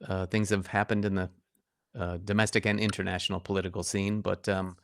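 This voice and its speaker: A man's voice: low voice, flat delivery, a little fast, a bit bright. A man hosting a live interview, asking long, rambling questions full of fillers. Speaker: host.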